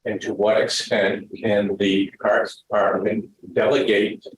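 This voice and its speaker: A man on a town committee raising questions in a halting way, repeating words as he starts. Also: lowish voice, level tone. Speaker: committee member. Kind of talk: meeting discussion. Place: town meeting room. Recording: roomy echoey meeting room.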